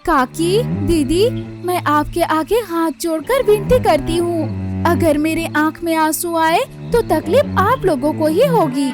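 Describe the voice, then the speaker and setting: A performer plays a meek, tearful young bride bird in a cartoon, with a very high voice. She speaks politely and pleadingly at an unhurried pace, with music behind her. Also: expressive. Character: meek tearful young orphan daughter-in-law bird, female, forgiving.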